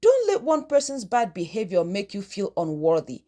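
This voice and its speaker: A woman's voice: medium pitch, wildly swooping pitch, normal speed, dark tone, pitched below the performer's own voice. A woman voicing a kind, earnest, lovelorn teenage schoolgirl in a storybook tale, speaking tenderly. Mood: comforting.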